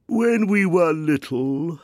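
A man voicing a sorrowful, sobbing male Mock Turtle who speaks slowly and mournfully in a hollow tone. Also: big pitch swings, no room sound, clean studio sound. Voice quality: deep hollow tone. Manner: slow, mournful, sighing.

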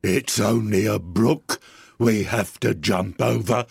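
A man voicing gruff male railway passengers in a storybook, a horse among them, with a hoarse voice. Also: fairly low pitch, steady tone, slow, noisy background. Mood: gruff, unbothered.

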